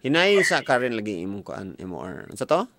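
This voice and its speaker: A man's voice: lowish voice, sing-song, a little fast, fairly bright tone. A young Filipino man with a Cebuano accent, hosting a casual call-in radio chat in a playful, teasing way on a studio mic. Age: young adult. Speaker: radio host. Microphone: boom-arm studio mic, headphones. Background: music playing.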